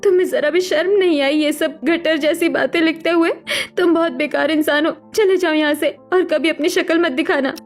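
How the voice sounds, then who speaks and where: A woman's voice: very high-pitched, fairly even intonation, normal speed. A woman voicing a hurt, defensive young woman in a cartoon story, emotional and distrustful. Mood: hurt, angry. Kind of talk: Hindi cartoon story voice acting. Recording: music behind the voice.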